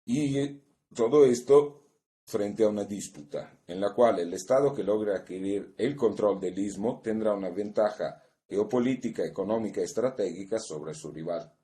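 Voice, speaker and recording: Low, mellow man presenting. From Italy. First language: Italian. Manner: measured, working from notes, pausing to find words. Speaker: seminar presenter. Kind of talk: academic conference presentation. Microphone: video call.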